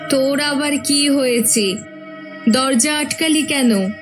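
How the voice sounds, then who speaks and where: A woman's voice: sits high, slow, over music. A woman voicing a caring, worried village mother in a horror cartoon, fussing over her children.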